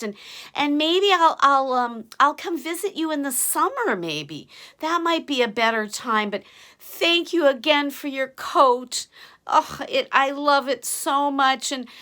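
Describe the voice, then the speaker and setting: A huge, sweet-natured giant, put on by a woman storyteller, who scolds himself to tread carefully and then gushes breathlessly with delight. His voice rises high and bright and grows loud when he is thrilled. It is a storybook giant with no menace at all. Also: full character voice.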